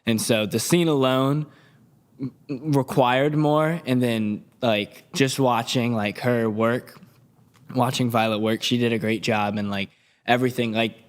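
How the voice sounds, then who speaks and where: Man with a mid-pitched voice, chatting casually. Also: fairly even intonation, unhurried. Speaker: actor, podcast guest. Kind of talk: casual chat.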